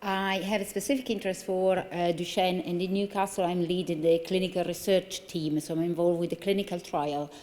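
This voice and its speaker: A woman's voice: lowish voice, flat delivery, fairly quick, hardly any pauses, a little mellow. A woman in her forties with a strong Italian accent, a neurologist giving an earnest conference talk at a lectern with many fillers and restarts. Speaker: consultant neurologist. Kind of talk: conference lecture. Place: large conference hall. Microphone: lectern microphone. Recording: long reverb of a large hall.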